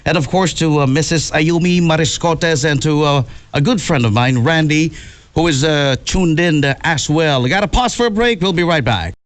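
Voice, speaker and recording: A man working as a radio DJ, announcing songs in an upbeat style and giving friendly shout-outs to listeners. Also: a little high, moderate pace, no echo. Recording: radio aircheck with loud music behind. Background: loud pop music.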